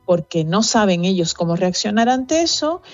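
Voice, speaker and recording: A middle-aged woman with a Venezuelan accent, speaking thoughtfully as an interview guest, pausing with fillers as she reflects. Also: mid-range voice, big pitch swings, dry recording, dull audio, soft background music. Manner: reflective, earnest, with fillers and restarts. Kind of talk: conversational interview.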